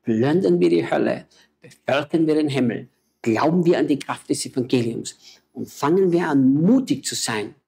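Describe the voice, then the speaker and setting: Gravelly, husky preaching man. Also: fairly high pitch, expressive, moderate pace, dark tone. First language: German. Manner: emotional, urgent, exhorting. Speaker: missionary preacher. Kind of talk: impassioned preaching in conversation.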